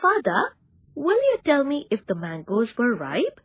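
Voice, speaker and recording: Woman voicing a plaintive, husky young schoolboy. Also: fairly high pitch, huge pitch swings, measured pace, dry recording, pitched above the performer's own voice, heavily acted. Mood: pleading. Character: helpless, reluctant young schoolboy son. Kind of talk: dramatised children's story reading. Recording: band-limited, tinny, lo-fi audio.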